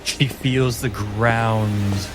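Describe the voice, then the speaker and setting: A man narrating a tabletop game as game master, telling the story dramatically with deliberate, suspenseful pauses. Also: on the low side, steady tone. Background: music.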